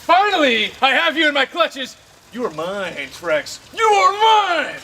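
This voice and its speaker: Brief: gloating German-accented villain, voiced by a woman, high and lively, distant lo-fi home video. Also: measured pace, a little hiss or hum, exaggerated voice. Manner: gloating, melodramatic.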